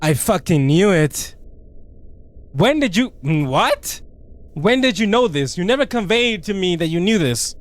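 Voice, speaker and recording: A man with a slightly high voice gives let's play commentary on a story game. He reads on-screen text aloud and breaks into casual, slangy commentary. His delivery is expressive, with an incredulous edge. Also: a little slow. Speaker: gaming video creator.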